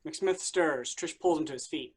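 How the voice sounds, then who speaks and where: A man with a slightly high voice narrates a play script. He reads short stage directions for a staged reading. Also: moderate pace, slightly rough.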